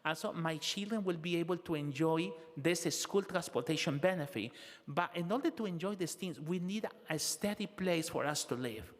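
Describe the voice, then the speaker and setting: Halting male interpreter in a reverberant hall. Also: fairly high pitch. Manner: halting first-person interpreting with filler "eh" and tag "huh?". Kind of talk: consecutive interpretation of public testimony. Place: city council hearing room.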